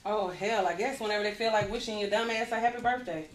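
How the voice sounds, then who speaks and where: A woman's voice: medium pitch, quick pace, slight background noise. A woman acting at a table read, reading film dialogue aloud in a sassy style. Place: meeting room with a conference table. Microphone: distant camera microphone. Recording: roomy, distant camera mic.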